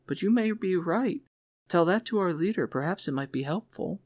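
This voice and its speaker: A man's voice: high voice, animated, normal speed, darkish tone, no room sound, studio quality, voice pushed up. A man voicing an eager, friendly young woman in an audiobook, with a chatty, enthusiastic manner. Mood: friendly, urging. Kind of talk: audiobook character voice.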